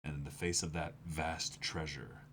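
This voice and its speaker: A man doing a dramatic read-aloud mixed with casual reactions, theatrical and excitable as co-host of a stream. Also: very deep voice, normal speed, a little hiss or hum.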